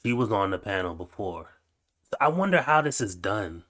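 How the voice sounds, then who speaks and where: A man's voice: fairly low pitch, big pitch swings, normal speed, fairly bright tone. A man hosting a reaction video, musing aloud in slangy, wry casual commentary. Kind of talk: casual commentary over clips.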